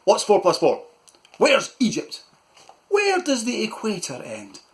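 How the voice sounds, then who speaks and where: A man's voice: high-pitched, wildly swooping pitch, unhurried, pitched above the performer's own voice, full character voice. A man voicing a blustering, self-important middle-aged uncle who barks questions. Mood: barking. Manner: loud, barking, self-important.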